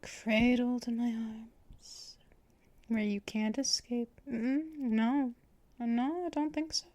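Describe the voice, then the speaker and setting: A woman's voice: on the high side, steady tone, no echo. A woman playing a whispering, possessive vampire mistress. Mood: possessive, teasing. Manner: slow, breathy half-whispers, soft laughs, teasing and possessive. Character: possessive, teasing female vampire mistress, adult. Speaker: ASMR roleplay performer. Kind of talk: unscripted ASMR roleplay. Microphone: close microphone for headphone listening.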